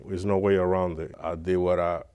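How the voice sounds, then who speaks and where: Deep voiced guy from puerto rico on a podcast, smooth sound, slangy casual storytelling. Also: little pitch movement, a little fast, a little mellow. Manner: street slang, frequent "you know what I mean". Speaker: podcast guest.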